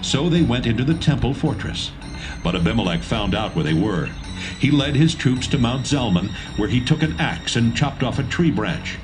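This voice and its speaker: A man narrating a dramatised Bible reading in a steady, measured way. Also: mid-range voice, rough recording. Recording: narration over music.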